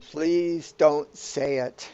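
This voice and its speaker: Man acting a creaky, anxious hero. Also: on the high side, steady tone, very slow, warm tone, dull audio, exaggerated voice. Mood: exasperated. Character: anxious, bewildered hero stranded in a surreal world. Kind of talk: radio play acting.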